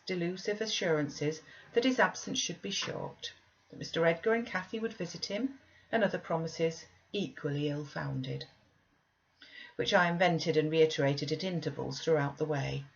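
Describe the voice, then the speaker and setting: Casting: female audiobook narrator for classic fiction, deep register, mildly lo-fi recording. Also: gravelly. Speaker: audiobook reader. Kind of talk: scripted literary narration.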